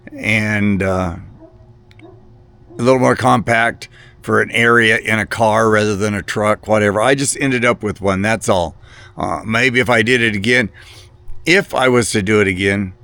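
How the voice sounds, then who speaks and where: A man with a slightly low voice speaks off the cuff to viewers as a hobbyist. His delivery is casual and rambling. He hesitates often, doubling back on words and halting between phrases. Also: creaky, sharp tone. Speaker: hobbyist video presenter.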